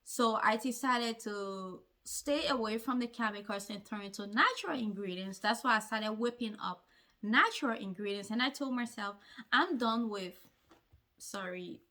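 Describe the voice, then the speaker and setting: Woman vlogger w a highish voice, earnest n emphatic, repeats herself for emphasis, telling her own story. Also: bright, forceful tone. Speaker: hair-care vlogger. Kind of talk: personal story told to camera.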